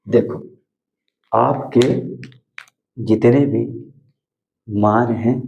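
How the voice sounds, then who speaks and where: Hoarse male math tutor with a gravelly, dark voice and a Hindi accent; drills steps, quizzes the class; dull lo-fi recording. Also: mid-range voice. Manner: repetitive, asks students questions and answers them himself. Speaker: math teacher. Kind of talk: board lesson for school students.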